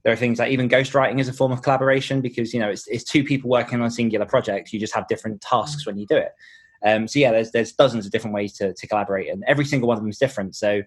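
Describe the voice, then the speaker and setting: A man's voice: mid-range voice, steady tone, rapid-fire. A man chatting casually as a guest author in a podcast interview.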